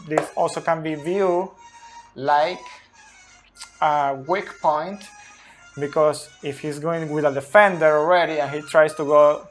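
A man in his thirties, speaking English as a second language, slowly explains football tactics at a whiteboard in a small room, often checking in with "okay?", recorded close on a phone. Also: on the high side, fairly bright tone. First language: not English. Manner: slow, deliberate, frequent "okay?" checks. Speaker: football analyst. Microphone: phone camera close up.

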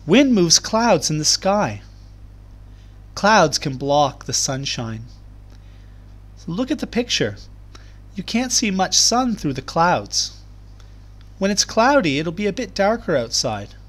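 Guy teacher doing a kids read along, kinda high voice, explains stuff simply. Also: sing-song, takes it slowly, pauses often. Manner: clear, simple explaining addressed to young children. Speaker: primary school teacher. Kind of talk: children's read-aloud lesson.

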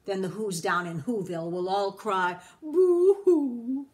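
A woman voicing a sly, grumpy male Grinch creature from a storybook, with a slick, drawn-out delivery. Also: mid-range voice, animated, a little mellow. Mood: gloating.

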